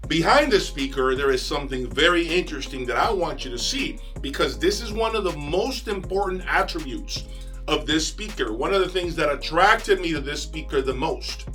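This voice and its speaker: A man hosting an enthusiastic video review, speaking emphatically with dramatic pauses. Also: a little high, animated, fairly bright tone, faint music behind. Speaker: audio review channel host. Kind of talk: enthusiastic product review presentation to camera.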